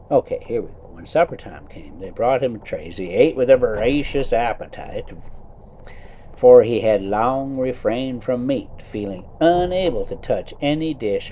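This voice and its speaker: A man's voice: medium pitch, mellow, highs cut off, a little hiss or hum. A man reading a story aloud in a folksy, theatrical way, with casual colloquial asides, while driving a motorhome. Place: inside a motorhome being driven.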